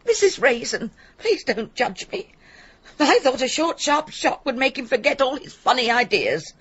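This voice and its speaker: Proud, guilt-ridden mother, middle-aged, high and husky, bitter radio drama delivery. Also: very animated, normal speed, fairly bright tone, lo-fi sound, exaggerated voice. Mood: defensive, regretful.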